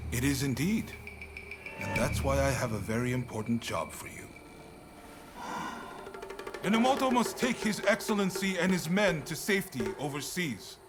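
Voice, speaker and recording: An actor playing a resolute, burdened samurai commander in a video game cutscene, speaking in a measured, plain-spoken way with weary resolve. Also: male voice, a little high, very animated. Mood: grave, steady. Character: frank, resolute samurai military commander, burdened but calm. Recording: music behind the voice.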